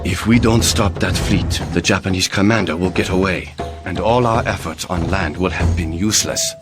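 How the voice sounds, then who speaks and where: A man's voice: low voice, normal speed, over music, lightly acted. A man playing a grave, dignified government minister in a video game cutscene, speaking formally and earnestly. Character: grave, dignified Korean prime minister, statesman.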